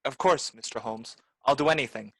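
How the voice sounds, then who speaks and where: A woman's voice: extremely low pitch, brisk, fairly bright tone, a little hiss or hum. A woman playing a devoted, earnest young man in a 1940s-style radio play. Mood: obedient.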